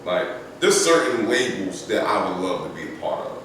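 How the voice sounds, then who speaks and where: A young adult man, a rapper guest, talking casually and slangily on a podcast, his voice jumping up and getting loud when he gets animated. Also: moderate pace. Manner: laid-back, slangy, climbs high and loud when animated. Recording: echoey room, distant mic, faint noise.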